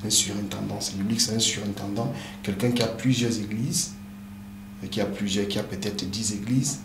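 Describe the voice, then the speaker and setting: A man's voice: fairly low pitch, steady tone, normal speed, vocal fry. Earnest pastor, a man, native English speaker. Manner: earnest, many hesitant fillers. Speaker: pastor, interview guest. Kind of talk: explanatory interview answers. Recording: echoey room with background noise.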